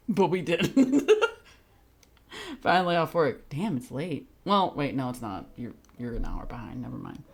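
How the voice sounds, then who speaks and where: Casting: young adult livestreamer, mid-range androgynous voice, lively intonation, giggly, blunt and sweary. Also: medium pitch, a little slow, pauses often, slightly rough. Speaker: game streamer. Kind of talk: casual livestream chat with viewers.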